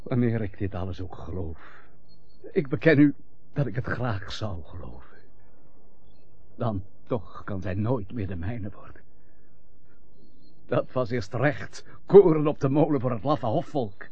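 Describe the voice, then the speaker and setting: An actor in a radio drama playing a proud, wounded, unforgiving husband, speaking formally and with bitterness. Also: male voice, medium pitch, animated, unhurried, pauses often, husky. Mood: wounded resignation. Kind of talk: classic European radio drama acting. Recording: dull lo-fi old radio recording.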